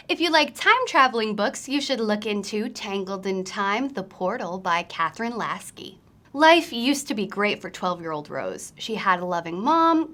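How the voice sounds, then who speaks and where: High-voiced woman presenting book picks. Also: fairly bright tone. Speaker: host of a book recommendation series. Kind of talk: scripted book recommendations to viewers.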